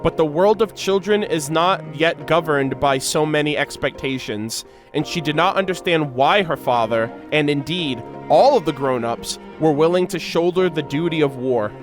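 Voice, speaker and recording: A man's voice: on the high side, normal speed. An enthusiastic man reacting to a game, reading lore expressively and commenting in slangy, casual language. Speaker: video creator reacting to game lore and voice lines. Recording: background music behind voice.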